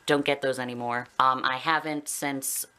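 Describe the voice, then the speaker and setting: A man's voice: medium pitch, fairly even intonation, normal speed, pushed and bright, clear, resonant tone. A young adult man with a Canadian accent talking casually and candidly to viewers in a personal vlog, rambling a little. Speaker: video blogger.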